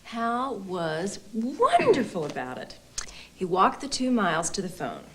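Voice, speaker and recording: A woman's voice: mid-range voice, wildly swooping pitch, a little slow, noisy background. An actress playing a polite, over-explaining wife who covers for her husband in a comedy film. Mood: eager, gushing.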